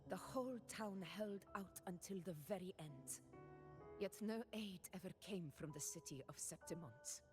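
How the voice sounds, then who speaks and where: A woman voicing a proud, solemn warrior in a video game, speaking in a measured, earnest and dramatic way. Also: lowish voice, expressive, lightly acted. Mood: bitter, grieving. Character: proud, solemn woman warrior haunted by her lost hometown. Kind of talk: video game voice acting, storytelling. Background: game music.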